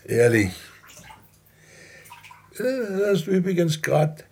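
An actor playing a weary, stubborn police detective of sixty-one in a radio drama, with halting, grumbling speech. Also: male voice, sits high, sing-song, darkish tone, slightly rough, exaggerated voice. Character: weary 61-year-old male police detective, stubborn, defensive. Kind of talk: naturalistic radio drama dialogue.

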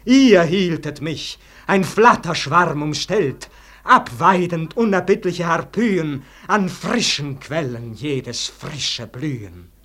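A man acting a weary, melancholy, proud nobleman in a 1940s German radio verse drama, declaiming in measured, swelling and hushed phrases. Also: on the high side, animated, full character voice. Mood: bitter, impassioned.

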